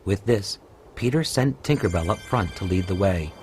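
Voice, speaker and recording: A man narrating a scripted children's story as a storyteller, in a measured, clearly enunciated way. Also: deep voice, lively intonation. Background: music.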